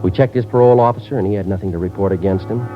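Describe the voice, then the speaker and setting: A man playing a police sergeant who narrates a 1950s radio drama in a flat, clipped, matter-of-fact way. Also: on the low side, very fast, lightly acted. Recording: dull old radio recording with music.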